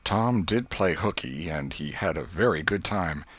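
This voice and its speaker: A man reading literary narration as an audiobook narrator, in an expressive, measured storytelling manner. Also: deep voice, a little hiss or hum.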